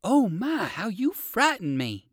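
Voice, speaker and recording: A man voicing a timid, polite old gentleman rabbit in a children's story, hesitant and plaintive. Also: high-pitched, wildly swooping pitch, slow pace, dry recording, studio quality, voice pushed up, heavily acted. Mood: shaken, relieved.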